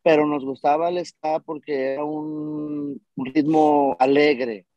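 A man's voice: a little high, level tone, takes it slowly, dark tone, no echo, lo-fi sound. A man, a band vocalist, answering interview questions casually over a video call, rambling with fillers.

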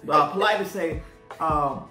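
A man in his thirties co-hosting a couple's vlog, joking and telling stories in relaxed, colloquial speech at a desk microphone in a small room at home. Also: a little high, moderate pace, faint music behind. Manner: laid-back, joking, colloquial grammar. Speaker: co-host of a married couple's vlog. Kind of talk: casual chat and storytelling. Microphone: desk condenser mic, wearing headphones. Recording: echoey room.

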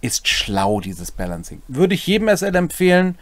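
Guy in his 40s walking thru rpg rules, pitch moves around a lot, dry close sound. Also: big pitch swings, moderate pace, a bit bright, no room sound. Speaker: game master and co-host explaining rulebook. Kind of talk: conversational rules explanation. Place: small home room, indoors.

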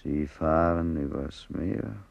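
A man's voice: very deep voice, slow, no room sound, dull audio, exaggerated voice. An actor playing a blunt dying man who is wry about death, in a radio play. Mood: wry, gruff. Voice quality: gravelly, dark.

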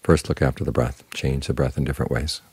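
The very low, husky man you would hear at a morning meditation sitting, calmly guiding everyone in plain words, close and dry in the ear. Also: fast talker, dry recording. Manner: calm, instructive, plain-spoken. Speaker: Buddhist monk, meditation teacher. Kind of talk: short spoken Dhamma talk guiding meditation.

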